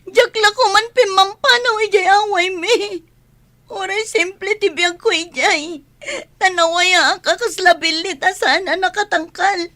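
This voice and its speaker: Radio drama mistress: reproachful, demanding, extremely high and plaintive. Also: female voice, unhurried, pushed and bright, exaggerated voice. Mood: hurt, tearful.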